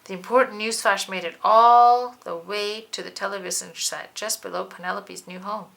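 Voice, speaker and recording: A woman's voice: mid-range voice, fairly even intonation, moderate pace, gravelly, fairly bright tone, rough recording. A woman reading a children's storybook aloud, narrating expressively and dramatically. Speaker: children's book reader.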